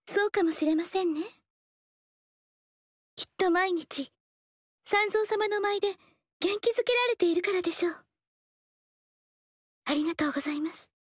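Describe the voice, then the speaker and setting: An actress voicing a gentle, melancholy young female dancer who speaks softly and politely in a game. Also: very high-pitched, a little slow, pauses often, no room sound. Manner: soft, polite and reserved. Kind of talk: game dialogue. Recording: dry studio voice.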